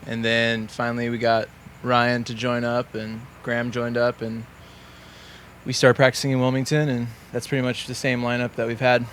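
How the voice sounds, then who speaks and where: A man's voice: medium pitch, little pitch movement, normal speed, fairly bright tone, slight background noise. A man, laid-back and matter-of-fact about his band. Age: 20s. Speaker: band member guest.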